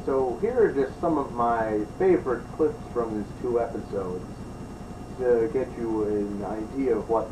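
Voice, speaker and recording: A man's voice: medium pitch, unhurried. Echoey, distant male review host. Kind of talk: improvised review commentary. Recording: roomy, distant mic, clearly noisy.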